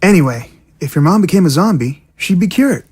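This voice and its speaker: A woman's voice: on the low side, lively intonation, fairly quick, a little mellow, slightly rough. A woman playing a pleading, scheming young zombie girl held captive, in an audio drama. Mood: persuasive.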